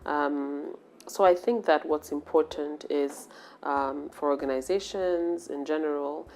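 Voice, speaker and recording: A woman in her forties from Cameroon, an HR professional answering interview questions in a measured, reflective way. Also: deep voice, a little mellow, a little hiss or hum. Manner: measured and reflective, pausing to gather thoughts.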